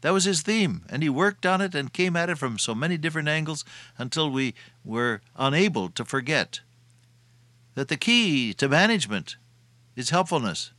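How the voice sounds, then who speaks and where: An older man delivers a homespun sermon full of everyday stories, often chuckling as he goes. His voice is bright and forceful. It sounds close and dry, with no room echo. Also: a little high, lively intonation, moderate pace. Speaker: preacher. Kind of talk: folksy sermon with anecdotes.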